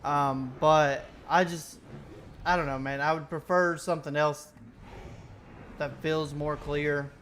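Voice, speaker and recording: A young man streaming a game, musing aloud in loose, self-correcting sentences. His voice sits a little high for a man and sounds bright and forward. He drifts from point to point in easy casual chat. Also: fairly even intonation, slow, a little hiss or hum.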